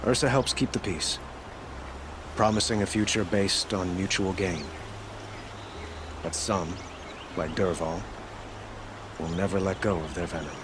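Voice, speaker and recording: Low-voiced, regal young king. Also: steady tone, noisy background. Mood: grave, measured. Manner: formal, measured, eloquent. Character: young sun king, regal, earnest, measured male ruler. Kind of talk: scripted video game dialogue.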